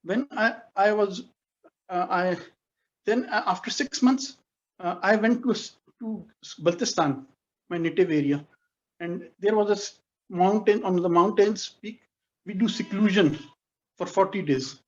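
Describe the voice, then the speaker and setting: A man in his forties from Pakistan telling his life story on a video call, speaking haltingly with many restarts and self-corrections. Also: high voice, dull audio. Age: 40s. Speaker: guest speaker. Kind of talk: personal life story talk.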